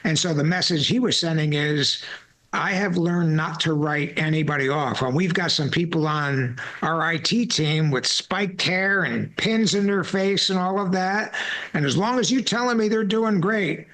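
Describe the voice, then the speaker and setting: A man's voice: a little high, moderate pace, fairly bright tone. A man in his sixties hosting a podcast, telling stories in a loose, rambling way with repeated words and folksy asides. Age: 60s. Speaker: podcast host. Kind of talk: casual conversational interview.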